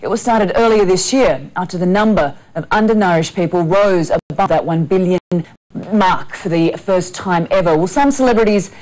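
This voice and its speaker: A woman in her thirties presenting live TV news, reading briskly from a script and then asking loose questions. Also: lowish voice, a little mellow, poor audio. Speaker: news anchor. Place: TV news studio.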